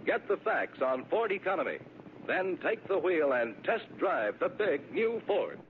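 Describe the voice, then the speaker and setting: Man, radio ad announcer, brightly varied pitch, vintage sales read, phone-like narrow sound, faint noise. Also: big pitch swings, unhurried. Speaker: commercial announcer.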